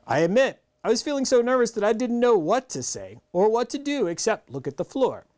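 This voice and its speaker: A middle-aged man reading a children's novel aloud in an animated storytelling style. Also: high-pitched, fairly quick. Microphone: clip-on lapel mic.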